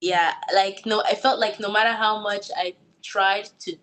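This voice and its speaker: Young Nigerian woman, halting and thoughtful. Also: medium pitch, steady tone, a bit bright, slight background noise, rough recording. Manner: thoughtful, many likes and restarts. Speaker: guest actor. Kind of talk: casual interview answers.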